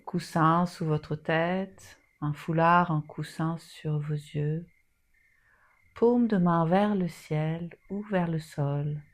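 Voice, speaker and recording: A woman leading a guided yoga nidra meditation in a soothing, soft manner with long pauses. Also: deep voice, steady tone.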